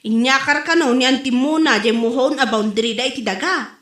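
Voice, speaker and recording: An actress with an Ilocano accent playing an animated, gossipy village woman in a comedy drama. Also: sits high, fairly quick. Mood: gossiping. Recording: roomy echo.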